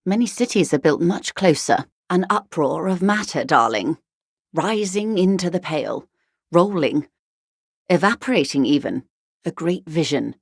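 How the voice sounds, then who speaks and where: A genteel worldly lady, low-pitched, close dry sound, eloquent, composed, wry, gracious, video game dialogue. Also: lively intonation, no echo. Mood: measured, grave. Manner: eloquent, unhurried, genteel, dryly philosophical. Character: refined, composed, worldly upper-class woman, wry and gracious. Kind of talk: video game dialogue, measured explanatory monologue.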